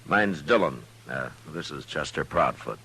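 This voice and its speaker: An actor playing a steady, gruff American frontier marshal, laconic and dry-witted, in an old-time radio western. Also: male voice, a bass voice, a little slow, exaggerated voice. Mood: probing, wary. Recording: noisy, humming vintage radio recording.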